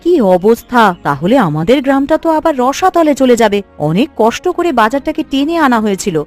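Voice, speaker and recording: Casting: woman as practical, outspoken cartoon housewife, lively swings in a highish voice. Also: brisk, faint music behind. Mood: exasperated. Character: caring, outspoken village housewife, practical and bossy. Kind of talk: cartoon voice acting.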